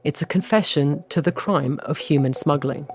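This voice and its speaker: A man's voice: on the high side, normal speed. A man reading scripted narration for a narrative radio documentary. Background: music bed.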